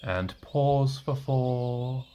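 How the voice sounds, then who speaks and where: A man leading a guided meditation in a gentle, reassuring manner, leaving long pauses between short phrases. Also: mid-range voice, a little hiss or hum. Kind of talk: guided meditation, soothing instruction.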